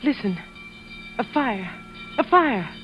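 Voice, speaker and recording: A woman's voice: on the high side, big pitch swings, slow, soft background music. A woman playing an anxious wife in a 1940s radio suspense drama. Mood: alarmed. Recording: dull old radio recording, faint noise.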